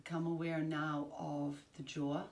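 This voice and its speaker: A woman teaching yoga nidra, giving calm, soothing, measured guided-relaxation instructions with long pauses. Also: low-pitched. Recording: roomy, distant mic, faint background noise.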